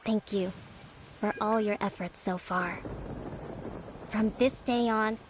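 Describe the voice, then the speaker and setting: A woman voicing a composed young priestess who leads a resistance in a video game, speaking in a formal, measured, courteous way. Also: mid-range voice, fairly even intonation, background noise, close to an everyday voice. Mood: gracious, resolute. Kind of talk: scripted video game dialogue. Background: background music.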